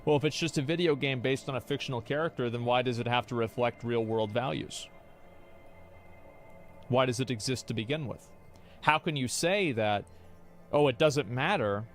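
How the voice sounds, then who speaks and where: Streamer type, young man, argumentative, rambling, mid-range voice, low music bed. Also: normal speed, pauses often. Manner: rambling, argumentative, restarts often. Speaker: streamer reacting to chat. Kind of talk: casual opinion talk.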